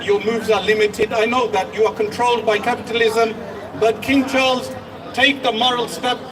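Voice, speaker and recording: High-voiced man giving a rally speech. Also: flat delivery, normal speed. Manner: impassioned, declamatory. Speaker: speaker at a protest rally. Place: outdoor street rally. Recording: open-air rally from far off, crowd behind.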